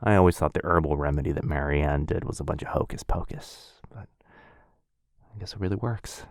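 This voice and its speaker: A man playing a gentle, centuries-old vampire lover in an ASMR roleplay, soft-spoken and reassuring. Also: very deep voice, a little fast, creaky, dry recording, clean studio sound. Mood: amused.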